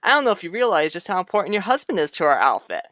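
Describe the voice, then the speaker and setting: A woman's voice: fairly low pitch, very animated, racing, fairly bright tone, no echo. A woman voicing an affable but defensive grown man with a folksy manner in a radio soap opera.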